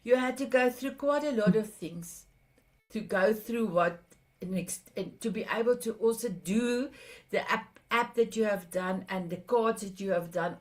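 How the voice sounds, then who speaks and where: A woman's voice: mid-range voice, a little mellow. A South African woman hosting an interview, speaking earnestly with frequent halts and restarts. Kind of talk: conversational interview.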